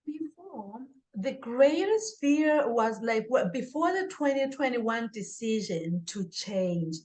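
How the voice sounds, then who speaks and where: A woman answering as an interview guest in a conversational, halting way, often correcting herself mid-sentence. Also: a little high, expressive, a little mellow, low-quality recording. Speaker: interview guest, author and singer.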